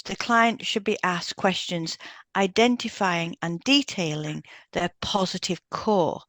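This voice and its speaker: A woman's voice: on the low side, dry recording. A woman reading a semi-scripted explanatory podcast as co-host.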